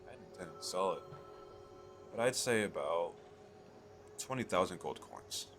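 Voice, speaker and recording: A man playing an eager, chatty fantasy merchant in an ASMR roleplay, pitching his magical wares to the listener in a coaxing, conversational way. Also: lively intonation, normal speed, over music. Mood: candid, musing. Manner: coaxing salesman, conversational, hesitant asides.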